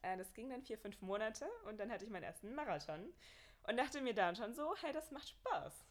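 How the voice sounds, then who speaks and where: A young woman from Bavaria with a Bavarian accent, a podcast guest and marathon runner, telling her story in a lively way. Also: a little high, fairly quick. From Bavaria, Germany. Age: young adult. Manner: lively, laughs often, storytelling. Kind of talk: casual podcast interview.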